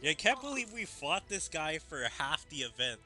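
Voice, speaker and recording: A man's voice: on the high side, big pitch swings, normal speed, bright, forceful tone, faint music behind. A man giving casual commentary as the host of a gaming video.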